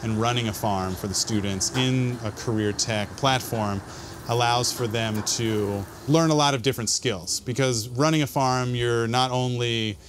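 A man in his thirties talks about his school farm in a relaxed, explanatory way, his voice at an ordinary middle pitch. He is recorded outdoors, with a clear hiss of ambient noise behind him. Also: a little slow. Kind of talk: interview.

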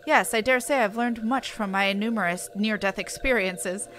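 A woman's voice: mid-range voice, moderate pace, a bit bright, slight background noise, natural voice. A woman voicing a bookish, self-important young man with florid, formal phrasing in a fantasy game. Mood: earnest, pleased.

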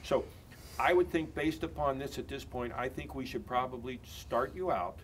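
An older man in his sixties giving a confident real estate listing pitch in an office conference room. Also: moderate pace, a little hiss or hum. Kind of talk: mock listing presentation.

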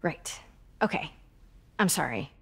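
A plucky young American woman reporter from a video game. Her voice sits on the low side with clear vocal fry, and she sounds confident, casual and dryly witty. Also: sing-song, takes it slowly, full character voice. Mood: polite, scheming. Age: young adult.

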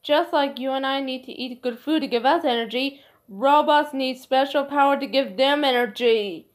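A woman's voice: high-pitched, moderate pace, lightly acted. A woman playing a friendly children's show presenter, explaining things simply in scripted narration for young children.

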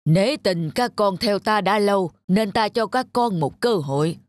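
An actor voicing a stern elderly male monk, a measured and authoritative temple master in a cartoon. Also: mid-range voice, lively intonation, no echo, close to an everyday voice. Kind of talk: animated fairy-tale dubbing.

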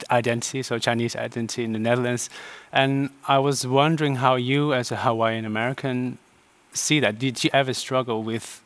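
A man's voice: medium pitch, fairly bright tone. A man interviewing an author on a festival stage set, asking casual, hedging questions.

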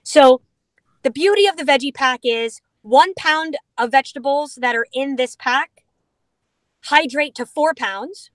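A woman's voice: sits high, unhurried, no room sound. A middle-aged woman in a vehicle on a video call, enthusiastically explaining a dog food product with playful asides. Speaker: dog food company representative. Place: inside a vehicle.

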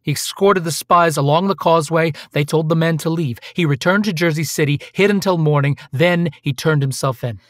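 An actor playing a hard, menacing investigator in a radio play, pressing and accusatory with theatrical delivery. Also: male voice, a little high, a little fast, few pauses, dry recording, clean studio sound, natural voice. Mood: grim recounting. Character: hard, menacing investigator grilling an immigrant wife.

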